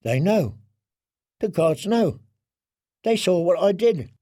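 A man's voice: high voice, very animated, takes it slowly, dark tone, no echo, clean studio sound, pitched above the performer's own voice, heavily acted. A man voicing a broken, haunted young man who pleads in a tearful, trembling way. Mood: frightened. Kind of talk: audiobook character voice.

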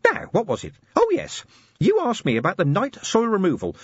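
A man voicing a tiny, eager, pedantic male imp in a very high, prim voice. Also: huge pitch swings, normal speed, slightly rough, dry recording, muffled recording, full character voice. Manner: prim, fussy, very high-pitched.

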